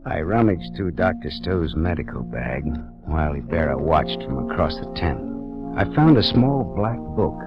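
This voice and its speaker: An actor playing a wry, world-weary private detective in a 1940s radio noir drama, with clipped, dramatic narration. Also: male voice, rumbling low, very animated, normal speed, exaggerated voice. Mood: tense narrating. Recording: dull 1940s radio recording, music behind.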